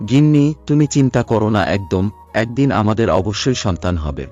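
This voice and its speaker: A man playing a gentle village husband in a cartoon, speaking in a soothing, earnest, consoling way. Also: on the low side, expressive, moderate pace, dull audio, soft background music. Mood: reassuring. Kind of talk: Bengali moral cartoon voice acting.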